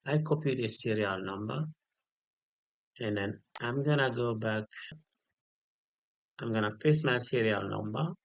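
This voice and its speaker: A man giving an unscripted step-by-step software tutorial, repeating key details and often checking in with a short okay. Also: mid-range voice, measured pace, long pauses. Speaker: tutorial instructor.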